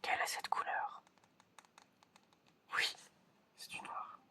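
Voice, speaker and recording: Male ASMR performer, whispered and unhurried, as a teasing, hesitant examiner repeating phrases to the listener. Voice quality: breathy, unvoiced whisper. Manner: hesitant, repetitive, teasing patter addressed to the listener. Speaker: ASMR creator playing an eye examiner.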